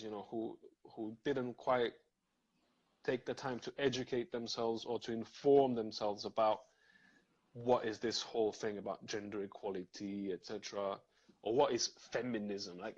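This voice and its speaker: A man talks through ideas in a casual live chat, pausing on fillers and circling back on his words. His voice is a touch husky, and the stream audio sounds dull and lo-fi. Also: mid-range voice. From Serbia. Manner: thoughtful, many fillers and restarts.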